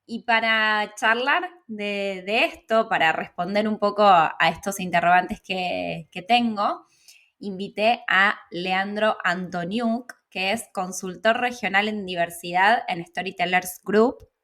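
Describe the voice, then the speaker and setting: A woman's voice: mid-range voice, slow pace, fairly bright tone. A woman hosting a conversational interview, asking the questions. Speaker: interview host.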